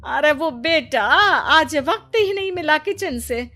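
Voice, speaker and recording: A woman's voice: high-pitched, lively intonation, slow, a bit bright, soft background music, exaggerated voice. A woman voicing a lazy older mother-in-law in a cartoon family story, whiny and full of excuses. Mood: whiny, evasive. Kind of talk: Hindi cartoon story acting.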